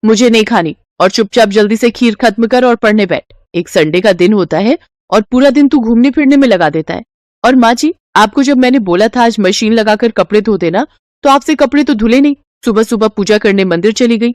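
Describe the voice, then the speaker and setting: Woman playing a sharp nagging daughter-in-law, slightly raised pitch. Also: fairly quick, dry recording, studio quality, natural voice. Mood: scolding. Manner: sharp, complaining, bossy. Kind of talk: Hindi audio story dialogue.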